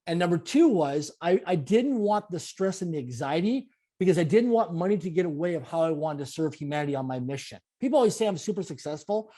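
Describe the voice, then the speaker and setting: A man's voice: a little high, lively intonation, quick pace, few pauses, dark tone. A man in his late forties from Canada, a guest giving an interview, talking in emphatic, repetitive motivational phrases. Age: 40s. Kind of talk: conversational interview.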